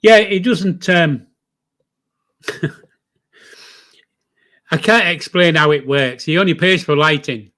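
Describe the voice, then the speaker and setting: An older man from East Yorkshire with a softened Yorkshire accent, chatting and rambling on a livestream with a friend. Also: on the high side, slow pace, pauses often. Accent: Yorkshire, softened by years in the south. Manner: chatty, rambling, laughs often, reads out viewer names. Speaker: livestream co-host. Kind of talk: casual livestream chat.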